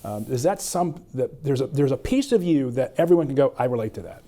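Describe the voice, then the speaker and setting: Man with a warm, mellow voice asking questions. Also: medium pitch, expressive, a little fast. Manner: rambling, self-restarting questions. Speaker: podcast host. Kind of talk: conversational interview.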